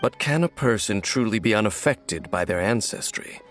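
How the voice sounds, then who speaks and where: An actor playing a stern, world-weary ancient warrior in a video game, grave, measured and formal, with a gravelly voice. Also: male voice, expressive, fairly bright tone, soft background music. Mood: brooding, reflective. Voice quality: low, gravelly.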